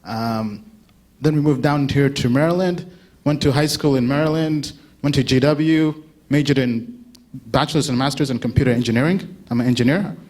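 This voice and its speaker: A man's voice: mid-range voice, normal speed, darkish tone. Chatty man giving a conference talk. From Uganda. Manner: chatty, asks the audience questions, laughs often. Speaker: conference speaker. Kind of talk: casual keynote talk to a live audience.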